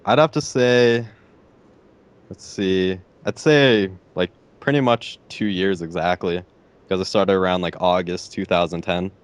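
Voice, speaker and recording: A teenage boy with a deep voice, answering interview questions hesitantly and filling pauses with "like" and "I don't know.". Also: steady tone, clean tone. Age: teens. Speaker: interviewee, gamer.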